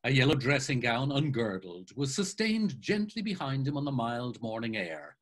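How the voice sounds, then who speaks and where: An older actor reading a novel aloud dramatically over a video call, with expressive, theatrical narration. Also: male voice, medium pitch, moderate pace. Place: home room, video call. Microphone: webcam on video call.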